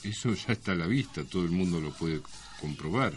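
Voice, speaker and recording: A man's voice: deep voice, animated, fairly quick. A man with an Argentine accent co-hosting a radio show, bantering in a tongue-in-cheek, mock-formal way. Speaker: radio co-host. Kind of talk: bantering comic radio talk with mock-solemn lines.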